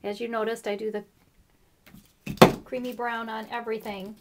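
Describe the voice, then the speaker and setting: A woman hosting a casual craft tutorial, explaining her steps in a chatty way with fillers, her voice a little rough this morning. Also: fairly high pitch, little pitch movement, moderate pace, very noisy. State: slightly rough voice. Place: craft table indoors.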